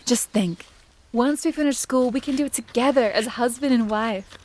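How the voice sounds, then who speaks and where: A woman's voice: fairly high pitch, moderate pace, darkish tone, a little hiss or hum, lightly acted. A woman playing an affectionate young student fiancée in an audio drama, with a conversational delivery. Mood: affectionate, teasing. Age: young adult.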